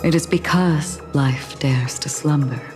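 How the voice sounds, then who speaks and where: An actress playing a calm, mysterious woman in a video game, speaking in a hushed, poetic, deliberate manner. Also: low-pitched. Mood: serene, solemn. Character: calm, mysterious low-voiced woman guiding a dreamer. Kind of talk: video game character acting. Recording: music behind the voice.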